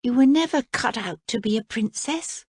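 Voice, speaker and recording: A prim, well-behaved little princess with a high, lively voice, as a woman reading aloud puts her on. She speaks earnestly and properly, with a soft breathy edge. The sound is dry and close. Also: normal speed, no room sound. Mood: gentle. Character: prim, polite young princess girl.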